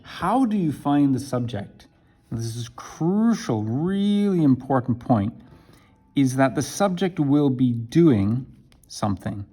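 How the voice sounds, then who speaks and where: A man teaching an English lesson to camera, talking slowly and deliberately and checking in with "right?". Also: medium pitch, expressive, mellow, husky. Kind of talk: explaining a language lesson to camera.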